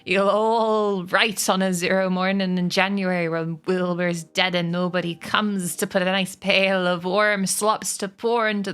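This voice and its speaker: A woman voicing an old, shrewd barnyard sheep who speaks with measured authority in a storybook. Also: on the low side, a bit bright, smooth. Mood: stern, persuasive. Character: oldest sheep, wise, shrewd, persuasive barnyard elder.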